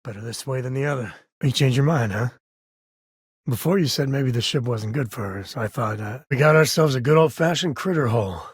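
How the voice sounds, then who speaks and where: A man's voice: mid-range voice, a little fast, husky, dry recording, natural voice. A man acting a folksy, wry space-frontier ranger, dry studio sound. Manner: folksy, laid-back drawl with dry wit. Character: rugged, wry, warm-hearted frontier ranger and devoted single father. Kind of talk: video game voice acting, companion dialogue.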